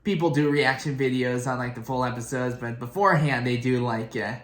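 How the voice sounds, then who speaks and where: A man hosting a reaction video, giving casual, rambling commentary in a hesitant, halting, informal way. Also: medium pitch. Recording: roomy echo, loud show music behind.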